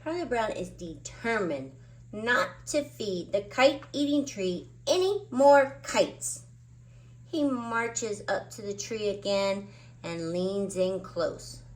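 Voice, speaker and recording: A woman reading a children's picture book aloud in an expressive, emphatic way, drawing out key words. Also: mid-range voice, slow pace. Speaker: children's storybook reader. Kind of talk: animated read-aloud narration of a picture book.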